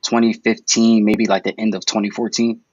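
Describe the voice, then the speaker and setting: A man's voice: on the low side, flat delivery, normal speed, darkish tone, no room sound. Laid-back young man on a muffled call. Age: 20s. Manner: laid-back, rambling with fillers. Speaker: guest reptile breeder. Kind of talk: casual podcast chat over video call. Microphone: video call.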